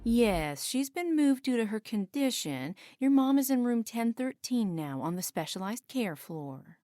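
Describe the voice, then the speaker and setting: Actress as solicitous hospital receptionist, radio play, mellow and animated, dry close sound. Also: female voice, on the high side, measured pace, no room sound. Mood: sympathetic, helpful. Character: kindly, sympathetic female hospital receptionist.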